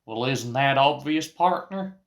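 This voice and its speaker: A man voicing a folksy, cocky young Texan with an excitable manner and a Texan accent, in a radio play. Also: medium pitch, a little slow, creaky. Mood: folksy, defensive.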